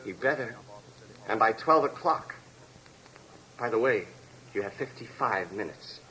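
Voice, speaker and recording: An actor playing a deranged escaped convict, calm, polite and coldly menacing, in the style of a 1950s radio drama. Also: male voice, on the low side, measured pace. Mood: smug, threatening. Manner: polite, composed, coldly precise. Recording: noisy vintage radio recording.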